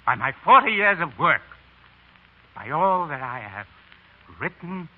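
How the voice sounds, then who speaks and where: An actor playing a defiant writer swearing a solemn oath in court, in declamatory 1930s radio style. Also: male voice, mid-range voice. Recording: tinny narrow-band 1930s radio recording.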